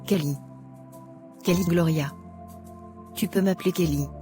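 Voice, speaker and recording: A woman playing a sincere, polite young student in love in an animated drama. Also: fairly low pitch, unhurried, lightly acted. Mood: friendly. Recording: music behind the voice.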